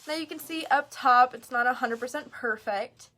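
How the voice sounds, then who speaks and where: A woman's voice: high voice, normal speed, noisy background. A woman casually hosting a live craft tutorial, chatting informally as she explains each step. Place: craft store.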